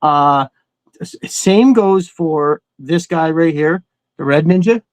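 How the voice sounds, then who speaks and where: A man's voice: on the high side, takes it slowly, no room sound. A man hosting a casual livestream, rambling in a slangy, enthusiastic way. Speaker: host of a toy collecting livestream. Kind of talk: casual chat.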